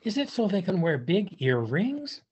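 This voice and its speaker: An older man reading a picture book aloud to young children, with drawn-out emphasis and playful questioning. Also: high voice, big pitch swings, darkish tone, slightly rough. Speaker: volunteer storybook reader for young children. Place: indoors, on a video call. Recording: dull, muffled webcam audio.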